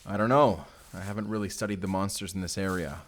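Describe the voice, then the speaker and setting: Man playing a low-voiced, guarded vampire hunter. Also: steady tone, fast talker, natural voice. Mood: wary, instructive. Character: weary young male vampire hunter, guarded, self-doubting guide. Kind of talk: cinematic audio roleplay acting, intimate.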